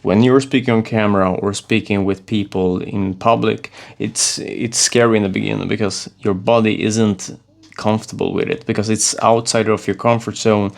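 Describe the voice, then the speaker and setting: A Swedish man in his thirties speaking English as a second language, coaching viewers to camera in an earnest, halting way with frequent restarts. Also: on the low side, fairly even intonation, vocal fry. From Sweden. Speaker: self-improvement vlogger.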